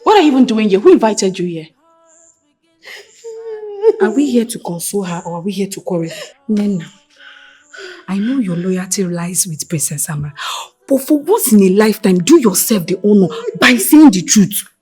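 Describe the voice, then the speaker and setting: Animated, husky Nigerian woman. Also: medium pitch, normal speed, pauses often. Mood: indignant. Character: outspoken, loyal female attendant to a princess. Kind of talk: emotive screen drama acting.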